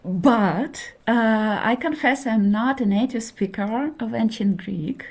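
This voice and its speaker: Slow, hesitant woman lecturing. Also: a little high. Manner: hesitant, many fillers, checks in with okay. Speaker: linguistics lecturer. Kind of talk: introductory university lecture.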